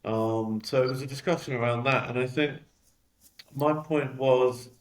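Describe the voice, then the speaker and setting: A man's voice: medium pitch, measured pace. A man with a London accent, a company CEO answering interview questions in a candid, reflective way with frequent fillers. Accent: London English. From London, England. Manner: reflective, with frequent "um" and "you know".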